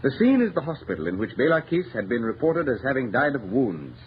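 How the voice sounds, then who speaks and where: An actor playing a solemn, urgent narrator of a 1930s radio crime mystery, formal and dramatic in delivery. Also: male voice, mid-range voice, huge pitch swings, brisk. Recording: dull, noisy 1930s radio recording.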